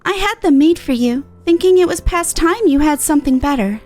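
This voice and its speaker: A woman voicing a gracious, caring older sister from a fantasy game, with formal, warm delivery. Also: sits high, lively intonation, a little fast, voice pushed up. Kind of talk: reading game dialogue. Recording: music in the background.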